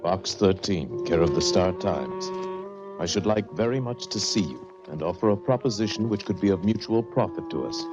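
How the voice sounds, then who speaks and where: A man acting a suave letter-writer in a 1940s radio drama, formal and deliberate as he voices his letter. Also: low-pitched, expressive, over loud music. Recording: muffled 1940s radio recording.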